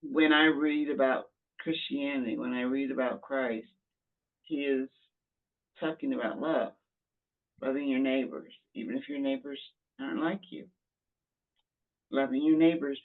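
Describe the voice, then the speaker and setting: A man giving reflective, conversational commentary in a halting way, repeating phrases for emphasis, over a tinny call connection. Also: medium pitch, vocal fry. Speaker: book discussion participant. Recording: tinny, phone-like call audio.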